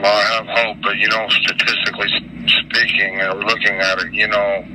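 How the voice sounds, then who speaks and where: A middle-aged American man from Utah giving informal interview answers in a halting, repetitive, folksy way. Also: on the low side, little pitch movement, heavy background noise. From Utah, United States.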